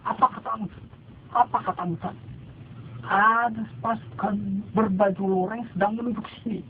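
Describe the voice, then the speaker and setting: A man doing a gruff, cursing pirate boss. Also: sits high, steady tone, moderate pace, voice pushed up. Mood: angry. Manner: gruff, curses, then blustering reassurance. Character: brash male pirate boss, quick-tempered. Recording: tinny lo-fi with faint noise.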